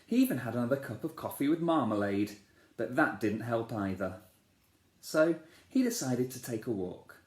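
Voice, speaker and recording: A man, a teacher, reading a children's storybook aloud in a playful, expressive way, asking the listeners questions. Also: mid-range voice, moderate pace. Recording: echoey room.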